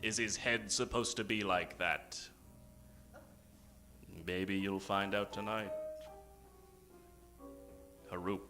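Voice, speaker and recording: Bright-voiced man, theatrical old-time radio announcer. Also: on the low side, steady tone. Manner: dramatic, with drawn-out suspenseful pauses and sudden emphasis. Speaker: announcer and host of an improvised radio show. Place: theater stage. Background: live keyboard music.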